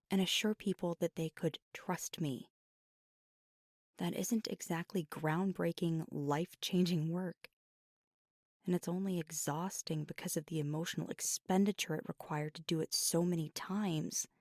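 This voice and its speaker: A woman playing a proud, fiery but weary werewolf girlfriend in an intimate ASMR roleplay, with a hushed, breathy, halting delivery that often slips into a whisper. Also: on the low side, no echo, clean studio sound. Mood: frustrated, dismissive.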